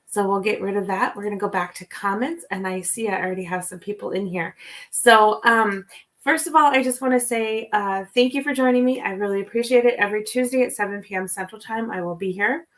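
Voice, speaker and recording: A woman with a raspy voice today, hosting a casual livestream from home and chatting with viewers by name, with lots of fillers. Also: medium pitch. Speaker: livestream host, psychic reader. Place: home, indoors. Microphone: computer mic.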